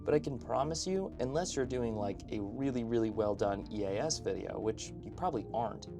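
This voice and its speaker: A man's voice: medium pitch, animated, fairly quick, vocal fry. A man delivering casual, opinionated video essay commentary as a host, with informal conversational asides. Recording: background music under voice-over. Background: music.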